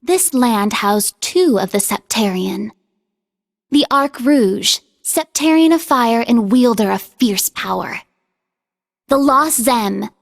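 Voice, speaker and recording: A woman acting in a video game as a solemn storyteller who narrates an ancient legend in measured phrases. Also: a little high, vocal fry, full character voice.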